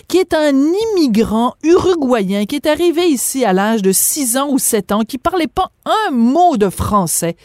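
Brief: French-accented female radio host, pointed and emphatic, bit high-pitched, dry studio sound. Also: darkish tone, no echo. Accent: French from France. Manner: emphatic, rhetorical questions. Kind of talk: opinionated radio debate.

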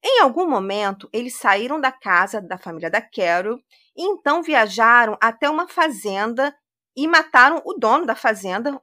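Bright-voiced woman telling a crime story. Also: on the high side. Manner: conversational retelling with frequent "né". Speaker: podcast host.

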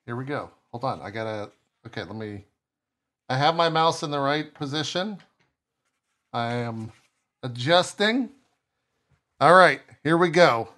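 A man's voice: medium pitch, lively intonation, measured pace, pauses often, pushed and bright. A young man co-hosting a podcast over a video call, joking and mocking himself with lots of restarts. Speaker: podcast co-host. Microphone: headphones with mic on video call.

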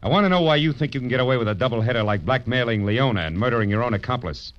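An actor playing a wisecracking hard-boiled private detective in a 1940s radio drama, clipped, sardonic and slangy. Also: male voice, mid-range voice, animated, rapid-fire, natural voice. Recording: muffled vintage radio recording, music behind.

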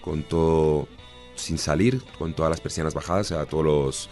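Low-voiced Spanish man in his thirties. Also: steady tone, normal speed, a little mellow, faint music behind. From Spain. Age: 30s. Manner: easygoing, chatty, with frequent restarts. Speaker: actor guest. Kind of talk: relaxed interview answers.

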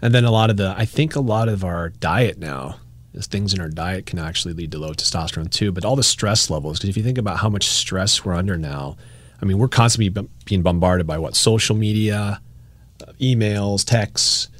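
Deep-voiced laid-back doctor, dry sound. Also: male voice, brisk, dry recording. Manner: laid-back, laughs easily, explains plainly. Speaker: doctor, medical clinic founder. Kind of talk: casual interview chat.

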